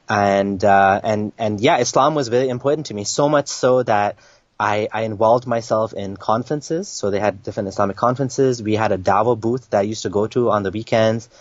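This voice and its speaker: A man's voice: fairly low pitch, steady tone, moderate pace, no echo. A young man from Kenya, a guest in an interview, telling his story in casual talk with many restarts and fillers. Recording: dry, dull lo-fi sound.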